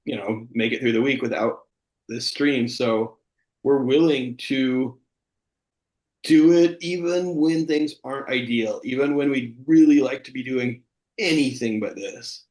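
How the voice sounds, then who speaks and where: In a roomy, echoey recording, a man with a mid-range voice hosts a comedy livestream. His banter is casual, delivered in a deadpan, mock-earnest manner. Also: medium pitch, measured pace. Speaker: co-host of a live comedy show. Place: online video call.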